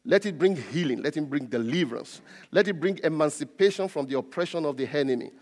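A man with a Nigerian accent, a native Yoruba speaker, preaches a sermon as a pastor in a deliberate way, repeating key phrases. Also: fairly high pitch, animated. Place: large reverberant indoor church space. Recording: reverberant hall.

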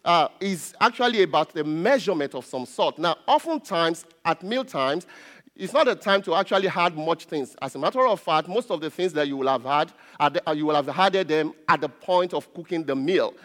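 A man with a Nigerian accent, a native Yoruba speaker, preaches a sermon as a pastor in a deliberate way, repeating key phrases. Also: on the high side, lively intonation, fairly bright tone. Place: large reverberant indoor church space. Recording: reverberant hall.

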